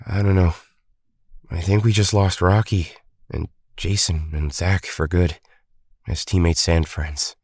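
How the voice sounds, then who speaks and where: Man acting a low-voiced, downcast young hero. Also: fairly even intonation, measured pace, darkish tone, no room sound, studio quality. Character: downcast, reflective young male hero at home. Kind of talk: audio drama acting.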